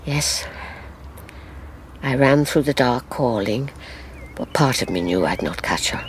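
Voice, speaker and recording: An actress playing an elderly Irish grandmother in a radio drama, recounting her past in a measured, sorrowful, wistful way. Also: a bass voice, animated, a little hiss or hum, exaggerated voice. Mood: haunted regret. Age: older.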